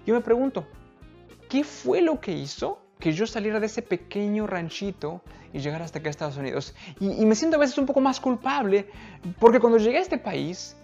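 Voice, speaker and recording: A woman's voice: medium pitch, expressive, a little fast, warm tone, muffled recording, soft background music. A woman with a Mexican accent preaching a live-streamed sermon, earnest and emphatic, often asking the listeners direct questions.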